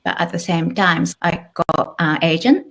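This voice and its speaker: A Japanese woman in her thirties, speaking English with a Japanese accent as an interview guest, thoughtful and relaxed with small restarts. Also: lowish voice, measured pace. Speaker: guest, author and illustrator. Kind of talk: casual interview conversation.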